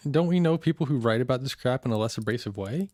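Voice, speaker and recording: A cynical computer hacker played by an actor in a naturalistic audio drama. The delivery is dry, blunt and jaded, at a middling pitch, recorded close with no room sound. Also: animated, fast talker, dark tone, dry recording, lightly acted. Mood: cynical, irritated.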